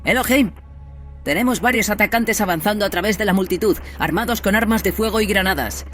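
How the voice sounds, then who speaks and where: Una mujer que interpreta en un audiodrama a una hermana de batalla curtida que informa con urgencia en pleno combate. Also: female voice, mid-range voice, brisk, a bit bright, faint music behind, lightly acted. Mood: urgent report. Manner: urgent, soldierly. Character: Lece, hardened female battle sister reporting under fire. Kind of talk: audio drama acting.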